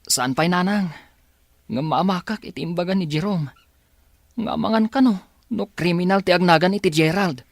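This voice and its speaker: A man's voice: high voice, normal speed, creaky, pauses often, no room sound, studio quality. An actor playing a concerned young man, a dutiful son, questioning and earnest in a radio drama.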